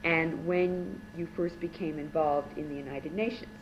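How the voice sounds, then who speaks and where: A woman's voice: sits low, fairly even intonation, moderate pace, highs cut off, background noise. A woman interviewer opening an oral history interview in her subject's home, speaking hesitantly with many fillers.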